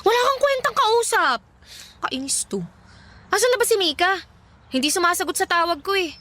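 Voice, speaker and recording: A young former tomboy in a radio drama, newly girly and touchy about it. Her voice is high and sharp, with pouty, exasperated banter. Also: animated, moderate pace, full character voice. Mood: pouty, irritated.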